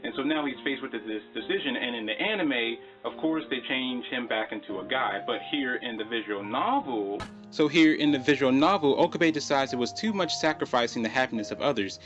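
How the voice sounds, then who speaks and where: A man's voice: medium pitch, brisk, a bit bright, highs cut off, soft background music. A man hosting a video, giving an informal, conversational explainer commentary and repeating phrases for emphasis. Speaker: video host.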